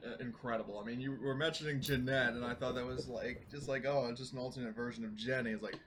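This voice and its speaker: A man playing a tabletop game, chatting informally and conversationally over clearly audible background noise. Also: mid-range voice, steady tone, fast, a bit bright. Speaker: roleplaying game player. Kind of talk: casual chat.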